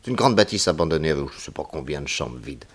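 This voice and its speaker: An actor playing a shrewd, cheerful detective who thinks aloud in a 1960s French radio serial. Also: male voice, extremely low pitch, sing-song, quick pace, full character voice. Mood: musing. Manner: musing aloud, thinking things through. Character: shrewd, confident male detective, eager and cheerful.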